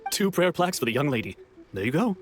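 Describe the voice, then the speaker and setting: A man's voice: a little high, sing-song, quick pace. A man voicing a courteous, helpful festival stall vendor in a video game, polite and matter-of-fact.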